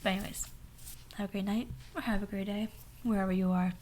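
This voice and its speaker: A young woman with a hoarse voice telling a sassy, dramatic story in a soft whisper. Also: mid-range voice, steady tone, fast talker, very noisy. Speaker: ASMR storyteller. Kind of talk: whispered ASMR story time.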